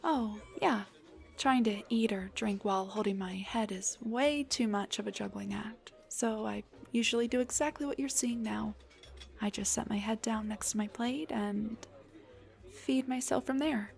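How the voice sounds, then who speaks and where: A young woman voicing an embarrassed, self-deprecating headless dullahan on a date, in an intimate roleplay, breathy and hesitant, often slipping towards a whisper. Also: fairly high pitch, unhurried, faint music behind. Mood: relaxed, chatty. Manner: hesitant, stammering, joking. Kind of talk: intimate audio roleplay acting.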